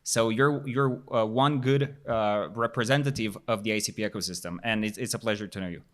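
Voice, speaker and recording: A man's voice: fairly low pitch, fairly quick, a bit bright. A man hosting a podcast, introducing guests and asking questions in a casual, effusive way, often repeating words. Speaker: podcast host.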